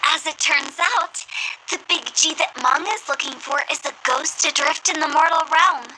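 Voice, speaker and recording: High-pitched cheeky young funeral director. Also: female voice, moderate pace, bright, forceful tone, a little hiss or hum, low-quality recording. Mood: playful, explaining. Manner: theatrical, playful salesperson patter. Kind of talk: video game voice acting.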